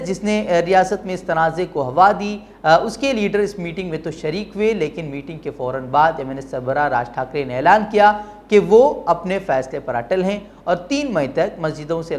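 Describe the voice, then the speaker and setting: A news presenter with an Indian accent reading a bulletin with some commentary. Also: medium pitch. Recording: clear background noise.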